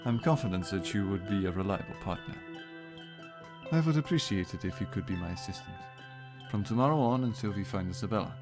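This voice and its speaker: A man playing a calm, serious Victorian investigator in a radio drama, measured and matter-of-fact. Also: lowish voice. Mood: earnest, persuasive. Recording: music behind the voice.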